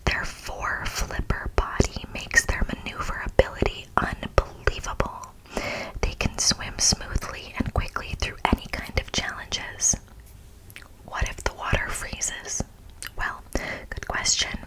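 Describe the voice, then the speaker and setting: An American woman whispers her way through an ASMR reading of a prepared speech. She takes it very slowly and deliberately. Now and then she turns to the listener with a playful aside, keeping the same hushed delivery. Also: poor audio. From United States. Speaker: ASMR host.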